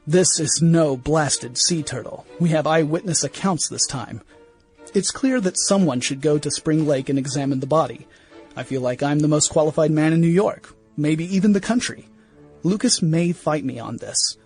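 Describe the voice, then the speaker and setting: An actor playing an irritable, self-important 1910s museum scientist, delivering a brisk, opinionated diary monologue in a radio play. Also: male voice, fairly high pitch, darkish tone, lightly acted. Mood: self-important, skeptical. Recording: music bed under the voice.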